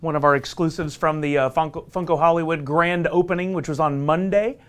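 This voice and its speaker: A man's voice: on the high side, fairly even intonation, brisk, a bit bright, clean tone. A man with a Southern US accent hosting a casual company livestream, upbeat and joking with his viewers. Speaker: livestream host from a marketing team. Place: company headquarters studio.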